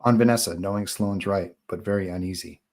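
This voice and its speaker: Table read narrator: man, lowish pitch, reading horror screenplay action lines. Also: steady tone, a little fast, a little mellow. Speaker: narrator reading stage directions.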